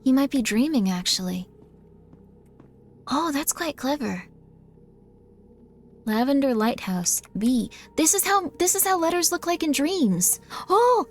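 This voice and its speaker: A woman's voice: sits high, very animated, a little slow, long pauses, slight background noise. A woman live-streaming a game, chatting in a bubbly way and often thanking her viewers. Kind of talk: casual live-stream chat while gaming.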